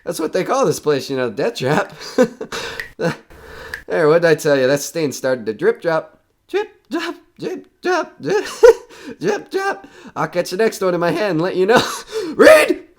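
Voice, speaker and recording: A man playing a nervous radio reporter who is coming unravelled in a horror audio drama, talking in a halting, rambling way. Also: high voice, wildly swooping pitch, heavily acted. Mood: rising panic.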